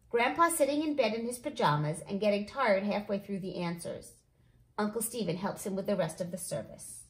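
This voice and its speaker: A woman with a fairly high, very lively voice reads a children's storybook aloud. She narrates expressively, like a read-along host, and the room around her is echoey. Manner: animated, acts out dialogue.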